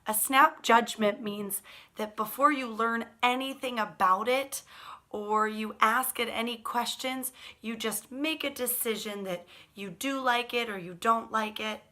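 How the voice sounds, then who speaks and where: Female storytime reader for young children: bright voice, unhurried pace, expressive delivery, chatty asides to the listener. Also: fairly high pitch. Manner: animated, expressive, addressing young listeners directly. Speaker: teacher reading picture books aloud to children.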